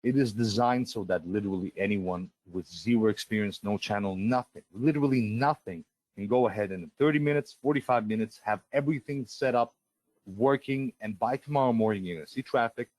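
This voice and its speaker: Man, native Russian speaker, middle-aged, low voice, salesy webinar pitch, lots of fillers and restarts, dry close sound. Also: brisk, darkish tone, dry recording. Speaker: software presenter on a live webinar. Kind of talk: live sales webinar, screen-share walkthrough.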